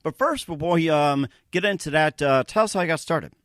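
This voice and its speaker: Dry close-miked male host, higher-pitched voice. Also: expressive, fairly bright tone, dry recording. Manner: halting, rambling, filler-heavy. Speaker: radio show host and interviewer. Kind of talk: interview hosting and promotional reads.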